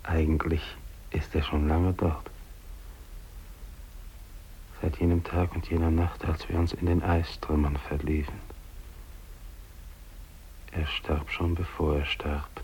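An actor playing a grave, brooding polar explorer at the end, giving a solemn monologue in a 1950s radio play. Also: male voice, very deep voice, little pitch movement, darkish tone. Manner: solemn, measured, repeating phrases. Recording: dull, hissy old radio recording.

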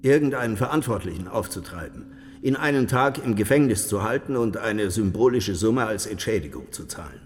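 An actor playing a haughty, weary and sardonic prince in a historical radio drama, commanding and condescending in his measured speech. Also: lowish voice, expressive, slight background noise, lightly acted. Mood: weary, knowing. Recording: long hall reverberation.

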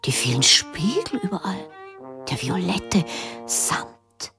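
Slow, expressive genteel mother. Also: lowish voice, exaggerated voice. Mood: hushed, impressed. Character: genteel, impressionable wife and mother, middle-aged. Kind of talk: German radio drama acting. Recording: music behind the voice. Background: instrumental music.

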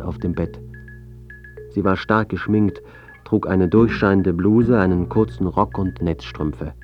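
An actor playing a self-important, easily exasperated journalist in a German radio drama, curt and irritable. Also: male voice, low voice, muffled recording.

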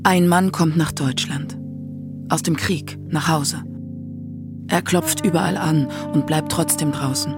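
A man's voice: fairly high pitch, measured pace. A man narrating a scripted radio documentary as its feature narrator. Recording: music under the voice. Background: music.

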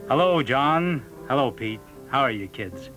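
A man's voice: medium pitch, expressive, unhurried, music in the background, lightly acted, rough recording. A man playing a rich, adventurous and bossy eldest American brother in a cartoon-like audio fairy tale. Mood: bossy, assured. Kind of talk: cartoon-like audio play dialogue in English.

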